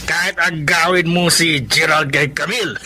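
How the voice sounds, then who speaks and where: A man's voice: a little high, steady tone, moderate pace, bright, forceful tone. An actor in a Filipino radio drama playing a gossipy, cheeky male drinking buddy. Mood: amused, surprised.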